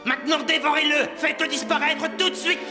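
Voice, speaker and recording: A very high-pitched incanting sorcerer. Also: male voice, steady tone. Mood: incantatory. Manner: incantatory, drawn-out. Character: theatrical sorcerer of Pharaoh invoking dark gods. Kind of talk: animated Bible story acting. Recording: echoing hall, music behind.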